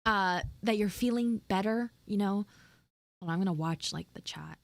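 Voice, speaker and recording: A woman's voice: mid-range voice, normal speed, creaky, dry recording. A woman livestreamer talking casually and expressively to her viewers in informal, exclamatory storytelling. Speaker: VTuber livestream host. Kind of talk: live roleplay chat with viewers, casual storytelling.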